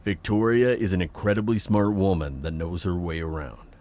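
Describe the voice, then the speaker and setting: A man playing an authoritative police chief in an audio roleplay, speaking in a measured, formal, matter-of-fact briefing style. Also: deep voice, fairly even intonation, a little mellow, natural voice. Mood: businesslike, firm.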